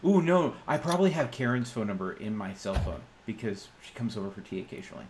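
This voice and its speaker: A man's voice: on the low side, animated, fast talker, a little mellow, a little hiss or hum. A man improvising in character during a tabletop roleplaying game, speaking hesitantly and often repeating or restarting his phrases.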